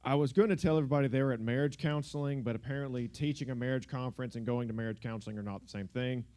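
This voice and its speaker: A man's voice: medium pitch, steady tone, racing, darkish tone. A young man giving a casual, joking sermon from a church stage on a handheld microphone. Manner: joking, conversational, uses filler words like "like" and "y'all". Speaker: guest preacher. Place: church sanctuary.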